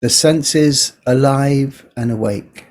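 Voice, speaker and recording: Slow-spoken man guiding meditation. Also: medium pitch. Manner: gentle, unhurried, pausing between short phrases. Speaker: meditation teacher. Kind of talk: guided body scan meditation.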